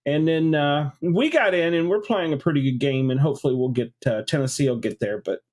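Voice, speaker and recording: A man's voice: on the high side, a little fast, a little mellow. A man co-hosting a casual chat show, rambling about college basketball while getting over a chest cold. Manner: rambling, repeats filler words. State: congested from a cold. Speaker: co-host.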